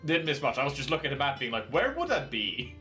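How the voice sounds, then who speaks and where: Bright, animated man doing chatty game commentary over soft in-game music. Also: mid-range voice, quick pace. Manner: chatty, laughing, reads game text aloud. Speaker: let's play host. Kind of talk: casual gameplay commentary.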